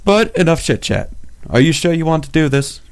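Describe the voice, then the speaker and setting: A man's voice: on the high side, animated, normal speed. A man acting as a wry, chatty mission boss in game cutscene dialogue. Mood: wry, casual. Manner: casual, wry.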